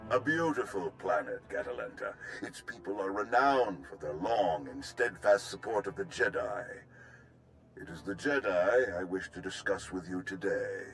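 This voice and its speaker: An actor playing a courteous, formal Republic military overseer in a video game, with polished and effusive speech. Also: male voice, low-pitched, animated, normal speed, soft background music. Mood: grave, courteous. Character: genteel, courteous male Republic military overseer, tea connoisseur. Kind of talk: video game cutscene acting.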